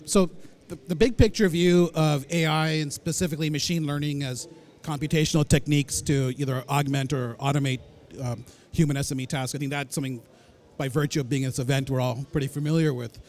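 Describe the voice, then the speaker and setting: A man's voice: on the high side, fairly even intonation, fairly quick, a little mellow. A man answering a moderator's question on a conference panel, fluent and technical with a few uhs.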